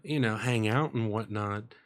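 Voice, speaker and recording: A man's voice: on the low side, measured pace. A young American man hosting a podcast in a radio studio, rambling casually with lots of fillers and an upbeat tone. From United States. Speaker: podcast host. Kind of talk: casual chat. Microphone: studio microphone with headphones.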